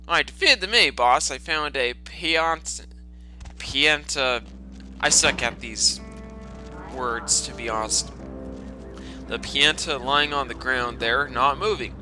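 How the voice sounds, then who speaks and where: Sarcastic man narrating over loud game music. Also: medium pitch. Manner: sarcastic, profane, exclamatory asides. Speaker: storytime narrator. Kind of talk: dramatic reading with mocking commentary. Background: video game music.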